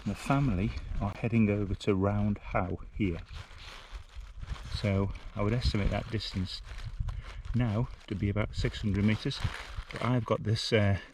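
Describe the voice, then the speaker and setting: A man's voice: lowish voice, steady tone, measured pace, darkish tone, slight background noise, rough recording. A man giving a casual, practical outdoor field-test commentary, speaking plainly as a hiking vlogger. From United Kingdom. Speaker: hiking vlogger and gear tester. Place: outdoors on open hills.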